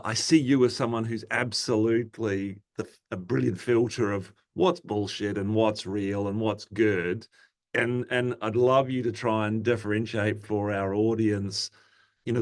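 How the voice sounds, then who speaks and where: Male panel moderator, fairly deep voice, informal and chatty, unscripted. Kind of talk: casual, conversational panel moderating.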